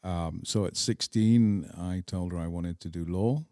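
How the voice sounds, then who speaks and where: A middle-aged man with an English accent shares memories in an interview. His voice is very low and relaxed, recorded close and dry. He speaks deliberately, setting phrases apart with pauses for emphasis. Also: no echo. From England. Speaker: podcast guest. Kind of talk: reflective personal storytelling in an interview.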